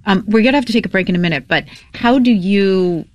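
A woman's voice: medium pitch, quick pace, a little mellow, dry recording. A woman hosting a studio interview show, speaking in a chatty, informal, conversational way. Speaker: talk show host.

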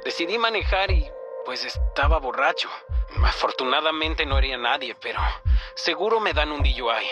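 An actor as a sheepish, apologetic arrested drunk driver in a radio ad. His fairly high voice is hesitant and regretful, dull and lo-fi under music. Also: lively intonation, a little fast, lightly acted. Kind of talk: acted radio public service ad. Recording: dull, lo-fi phone-message sound over music.

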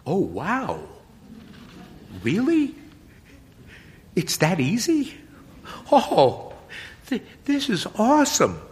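A man voicing a nervous teenage boy who speaks haltingly and stammers. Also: high-pitched, huge pitch swings, darkish tone, slight background noise, pitched above the performer's own voice, exaggerated voice. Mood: excited. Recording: long hall reverb.

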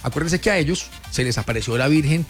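A man's voice: fairly high pitch, lively intonation, quick pace, soft background music. A Colombian man hosting a morning radio show, chatting in an exclamatory, folksy way and addressing listeners directly. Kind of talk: lively radio show chat and presenting. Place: radio studio.

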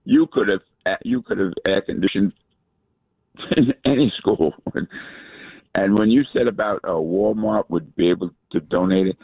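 Male radio caller, rambling, halting; very deep and gravelly, tinny phone line. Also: no echo. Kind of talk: phone-in chat.

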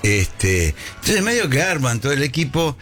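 A man's voice: medium pitch, big pitch swings, moderate pace, fairly bright tone, soft background music. A man with a Rioplatense accent hosting a casual sports talk show, joking and telling stories. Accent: Rioplatense Spanish. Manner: joking storyteller, acts out voices. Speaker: radio host.

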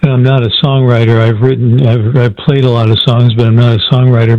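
A man's voice: mid-range voice, no room sound. A man calling in to a radio show, earnest and hesitant, often restarting his sentences. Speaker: caller. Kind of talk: phone-in caller explaining a question. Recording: dull lo-fi phone line.

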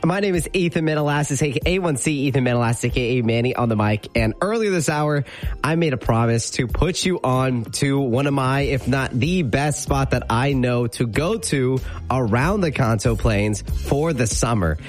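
A man's voice: mid-range voice, normal speed, faint music behind. A young man from California hosting a morning radio show, chatty and rambling, often repeating words. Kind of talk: casual morning radio talk.

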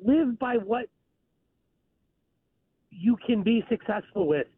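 A man's voice: sits high, lively intonation, slow pace, husky. A man calling into a sports radio show, rambling and hesitant with lots of fillers. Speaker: caller. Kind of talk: phone-in chat. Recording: tinny phone line.

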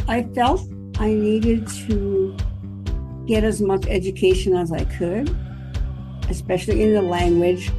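Woman in her eighties, flat and careful. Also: medium pitch, unhurried, music in the background, poor audio. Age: 80s. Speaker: student, language teacher in training.

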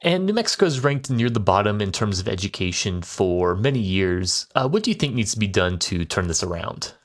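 Deep-voiced male interviewer. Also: very animated, fairly quick. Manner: hesitant with fillers. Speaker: radio interviewer. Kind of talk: interview questions.

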